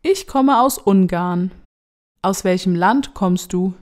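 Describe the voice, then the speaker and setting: A woman reading practice dialogues for learners. She speaks very slowly and deliberately, every word carefully enunciated, at a medium pitch. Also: a little mellow. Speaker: dialogue reader in a lesson. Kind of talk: slow scripted dialogue reading.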